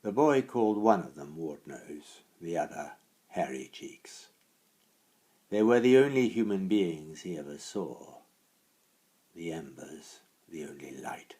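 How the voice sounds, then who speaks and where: Very deep, slow, dramatic male storyteller. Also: expressive. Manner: theatrical, hushed then swelling phrasing. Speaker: author reading his own story.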